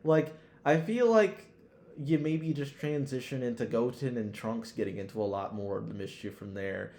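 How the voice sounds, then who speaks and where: A man's voice: mid-range voice, moderate pace, a little mellow, slight background noise. Slangy man in a roomy echo. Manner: heavy swearing, slangy. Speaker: podcast co-host. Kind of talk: casual chat about anime with slang. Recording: roomy, echoey sound.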